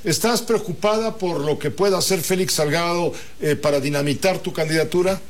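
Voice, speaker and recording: High-voiced male interviewer, probing. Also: fairly quick. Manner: probing, restates points before asking. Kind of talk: news interview questions.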